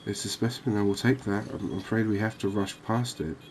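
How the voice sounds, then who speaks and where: A man giving calm, unhurried, soft-spoken ASMR gameplay commentary, musing aloud as he plays. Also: lowish voice, a little hiss or hum. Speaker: gaming video host.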